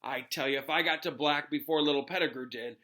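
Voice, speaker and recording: A man voicing a gruff, hot-tempered big man in a storybook, blustering and vengeful as he growls threats. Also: on the high side, fast, sharp tone. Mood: furious.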